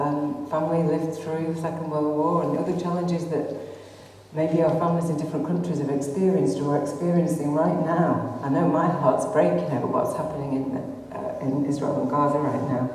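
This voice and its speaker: A woman's voice: on the low side, dark tone, slight background noise. Earnest Greater Manchester woman in echoey hall. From North West England. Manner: earnest, impassioned, with frequent ums and rhetorical questions. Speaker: activist speaker. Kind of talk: public talk to an audience. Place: arts centre hall. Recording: distant echoey hall recording.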